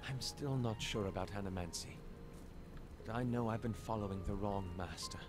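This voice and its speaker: An actor playing an earnest, contrite former spy in a fantasy video game, speaking in a measured way. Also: male voice, lowish voice, over music, close to an everyday voice. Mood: contrite, resolved.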